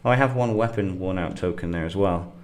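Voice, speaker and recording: Low-voiced middle-aged man, slightly sniffly. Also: a little mellow. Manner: halting, thinking aloud with many fillers. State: getting over a cold, slightly sniffly. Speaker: gaming livestream host. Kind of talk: casual gameplay commentary.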